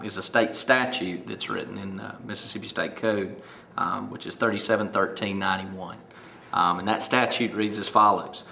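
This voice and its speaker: Male school official, 40s, halting delivery, bright tone, roomy office sound. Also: on the low side. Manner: hesitant, many ums while reading legal text. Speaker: school superintendent. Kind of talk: reading a public service message. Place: office, seated at desk.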